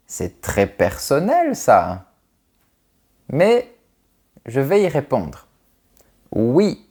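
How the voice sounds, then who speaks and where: A man in his thirties from France, a French teacher answering viewers' questions in a clear, carefully articulated, friendly way. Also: mid-range voice, darkish tone. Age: 30s. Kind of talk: slow, clear video Q&A for learners.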